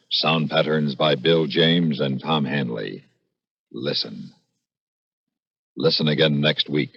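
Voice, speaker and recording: An American man announcing a 1950s suspense radio show in a dramatic, measured, scripted style. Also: rumbling low, husky, dry recording. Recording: muffled vintage radio broadcast recording. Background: music.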